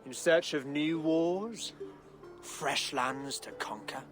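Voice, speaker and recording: A dignified, pious king, speaks very slowly and with formal care. He sounds thoughtful and regal, in cinematic game style, over clearly audible music. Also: a little high. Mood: resigned, gracious. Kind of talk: dramatic video game acting. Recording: music under the voice.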